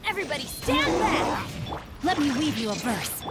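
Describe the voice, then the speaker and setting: Spirited young game fighter, very high voice, animated swoops, theatrical battle shouts, loud music underneath. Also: female voice, normal speed. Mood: fierce, spirited. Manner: exclamatory, theatrical. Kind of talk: video game battle barks.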